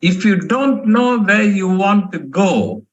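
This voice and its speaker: A man voicing a wise Cheshire cat with a gently questioning manner while telling a story. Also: high voice, expressive, slow pace, highs cut off, voice pushed up.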